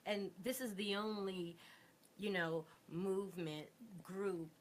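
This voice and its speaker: A woman's voice: medium pitch, steady tone, takes it slowly, a little hiss or hum. An American woman in a casual interview discussion, speaking frankly with strong opinions and checking she is understood.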